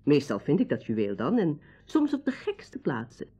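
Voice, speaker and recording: A woman's voice: low-pitched, wildly swooping pitch, normal speed, dark tone, husky, highs cut off, heavily acted. An actress playing a confident, capable woman who helps servants, in a Dutch radio drama. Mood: explaining, assured.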